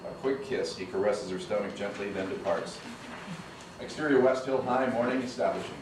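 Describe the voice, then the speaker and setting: A low-voiced man reads the scene directions in a plain, measured way. He sits back from the mic in a strongly echoing room. A steady noise runs under the read. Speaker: narrator reading stage directions. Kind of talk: screenplay table read narration. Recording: echoey room from a distance, noisy.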